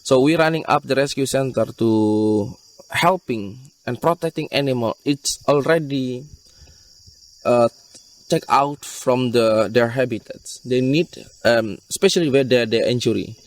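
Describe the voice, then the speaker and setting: Halting Indonesian-accented man, recorded at a distance. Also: medium pitch. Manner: halting, repetitive phrasing. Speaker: wildlife rescue NGO worker being interviewed. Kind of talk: interview explanation in non-native English. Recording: distant mic with insect chirps.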